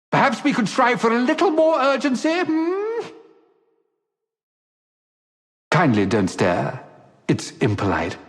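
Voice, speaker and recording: An actor playing a vain, teasing sci-fi rogue in a video game, with a theatrical, genteel, condescending delivery. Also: male voice, sits high, a bit bright, full character voice. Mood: impatient. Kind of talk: video game character voice lines. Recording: long hall-like reverb.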